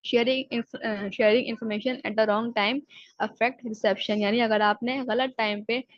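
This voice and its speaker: Woman lecturer, slightly high, flat delivery, dry and dull-sounding recording. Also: dry recording. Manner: explains in Urdu-Hindi, slowly reads English definitions aloud, then paraphrases. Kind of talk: recorded exam-prep lecture.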